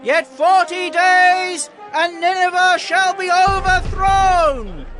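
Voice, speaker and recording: Man acting an anguished prophet, very high-pitched voice. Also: takes it slowly, crowd noise. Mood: loud warning. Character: Jonah, anguished male Hebrew prophet praying in distress. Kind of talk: dramatized scripture reading. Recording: music under the voice.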